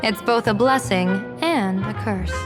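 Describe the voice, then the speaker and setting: A woman playing an enigmatic, playful seer strategist in a video game, speaking in a measured, musing, theatrical way with pauses. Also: medium pitch, lightly acted. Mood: solemn. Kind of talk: English video game voice acting. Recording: music under the voice.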